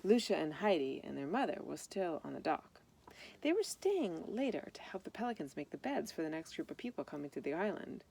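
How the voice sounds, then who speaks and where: Woman, creaky low voice, children's story reading, simple direct asides to listeners, some background noise. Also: big pitch swings, a little fast, warm tone. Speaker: storybook reader. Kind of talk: reading a children's picture book aloud with informal asides to young listeners.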